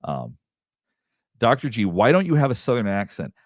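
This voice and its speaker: A man's voice: medium pitch, very animated, moderate pace, no room sound, clean studio sound. A middle-aged man, a therapist, rambling casually through viewer questions with lots of restarts and fillers. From Southeastern United States. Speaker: therapist hosting a live Q&A. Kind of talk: casual livestream chat answering viewer questions.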